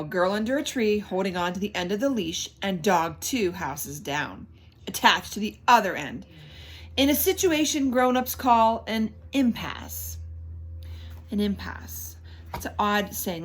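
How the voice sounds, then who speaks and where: Slightly husky woman reading aloud to children. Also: mid-range voice. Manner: instructive, prompting, emphatic repetition of key words. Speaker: read-aloud host reading a children's book to young listeners. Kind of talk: animated storytelling with teacher-like questions to listeners.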